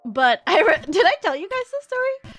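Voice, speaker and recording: A woman's voice: very high-pitched, big pitch swings, normal speed, fairly bright tone, slight background noise. A young American woman streamer telling a story in a casual, rambling way, with many fillers and restarts. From United States. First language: English.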